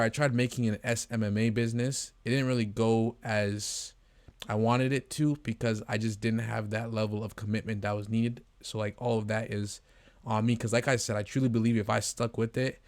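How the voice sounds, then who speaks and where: 18 yr old canadian guy rambling to camera, kinda low voice, very dry no room sound. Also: no echo. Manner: rambling, earnest, many fillers and restarts. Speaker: vlogger, agency co-founder. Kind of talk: casual talking-head vlog.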